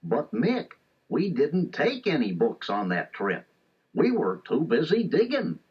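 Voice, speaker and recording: A man's voice: a little high, wildly swooping pitch, breathy edge, heavily acted. A man voicing a dim, good-natured, bumbling cartoon dog with a hollow, folksy drawl in a children's audio storybook. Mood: sheepish. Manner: slow-witted, folksy, bumbling. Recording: dull lo-fi tape, music behind.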